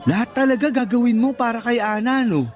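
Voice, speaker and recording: A man's voice: extremely high pitch, fairly quick. A man playing a teasing, blunt young co-worker and best friend in a radio drama, joking and scolding as he talks. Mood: advising. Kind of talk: Tagalog radio drama acting.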